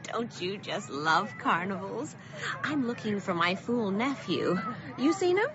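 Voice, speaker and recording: A woman's voice: mid-range voice, sing-song, a little slow, a crowd in the background. A woman playing a cheery, fussy older small-town lady in a radio drama, chatty and theatrical. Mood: chirpy. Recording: dull lo-fi audio, noisy background ambience.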